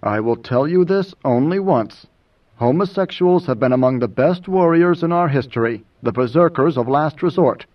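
A man voicing a world-weary older majordomo with a measured, cutting, lecturing manner and quiet command, in an audiobook. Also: a little high, recorded from a distance, highs cut off, close to an everyday voice. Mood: mild lecturing.

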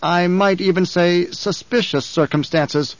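A man voicing a nervous, flustered male guard captain who answers in a hurried, deferential way. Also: high-pitched, dry recording, pitched above the performer's own voice. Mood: anxious. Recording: dull, dry studio recording.

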